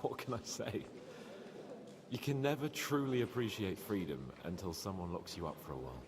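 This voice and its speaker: A man's voice: low voice, moderate pace, background noise, natural voice. An actor playing a cocky, jovial medieval nobleman in a video game, teasing and wry, fond of pleasure. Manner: teasing, animated. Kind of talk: video game dialogue acting.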